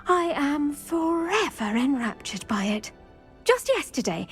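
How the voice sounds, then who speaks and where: A bubbly plant-loving professor talks to her student in a high, sing-song voice. Her speech is cheerful and encouraging, and faint game music plays behind. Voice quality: bubbly and enthusiastic. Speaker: herbology professor.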